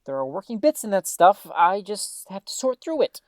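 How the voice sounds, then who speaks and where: An actress playing a defensive, grudging radio technician and co-host in an audio drama, with a casual, dry, reluctant way of talking. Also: female voice, medium pitch, sing-song, normal speed, a little mellow, no echo, close to an everyday voice. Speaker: radio show co-host and board operator.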